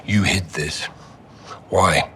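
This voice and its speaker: An actor playing an angry, principled army captain with a British accent and a biting, sardonic delivery in a game cutscene. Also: male voice, sits low, fairly even intonation, very slow, over music. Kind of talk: video game cutscene acting.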